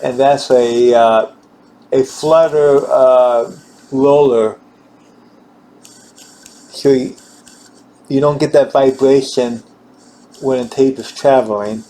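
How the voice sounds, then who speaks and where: A man giving an informal hands-on equipment demonstration, halting and repeating himself as he searches for words. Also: mid-range voice, steady tone, dark tone, rough recording. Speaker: gear demonstrator.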